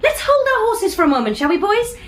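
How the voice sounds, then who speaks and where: A skeptical young househunter, high voice, sarcastic, very lively. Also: female voice, fairly quick, slight background noise. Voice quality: sarcastic, scornful. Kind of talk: radio drama acting.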